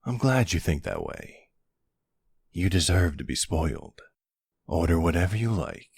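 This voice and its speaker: Guy doing a flirty mafia boss bf, asmr roleplay, low husky voice, teasing n cocky. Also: exaggerated voice. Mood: doting.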